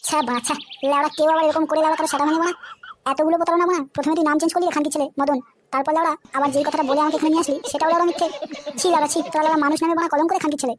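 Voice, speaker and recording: A woman's voice: sits high, fairly even intonation, rapid-fire, background noise, close to an everyday voice. A woman voicing a cocky, foul-mouthed, lovestruck young man in a comedy cartoon, with boastful, teasing banter. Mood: indignant.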